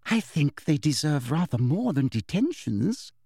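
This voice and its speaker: Male narrator playing sickly-sweet woman official, high pitch, simpering, smug, falsely polite, storybook villain. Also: big pitch swings, measured pace, gravelly, mellow, no echo, clean studio sound, heavily acted. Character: sickly-sweet, smug, poisonous middle-aged female official.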